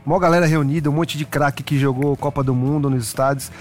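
Higher-pitched Brazilian football pundit. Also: male voice, fairly even intonation, quick pace, a little mellow. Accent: Brazilian Portuguese. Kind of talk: casual sports chat.

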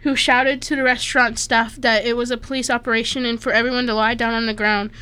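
A woman's voice: on the high side, level tone, fast, pushed and bright, clear, resonant tone. A woman from Slovakia casually reading an article aloud, stumbling over names and adding offhand asides.

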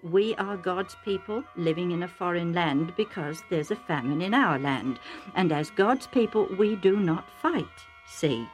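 Woman voicing an old blind chieftain, slow and firm. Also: fairly low pitch, animated, faint music behind.

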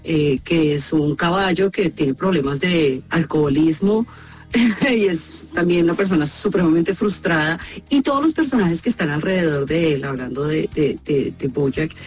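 A woman's voice: on the low side, darkish tone. A woman with a Colombian accent, a voice actress speaking as an interview guest, explaining and telling anecdotes about her work.

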